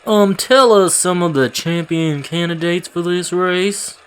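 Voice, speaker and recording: A man's voice: sits high, unhurried, a bit bright, clean tone. A man voicing a challenging press reporter in an anime fan dub, with a pointed, questioning manner.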